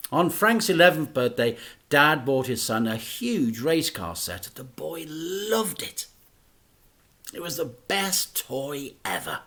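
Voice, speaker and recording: A man, a teacher, reading a children's book aloud in an expressive, dramatic storytelling manner. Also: medium pitch.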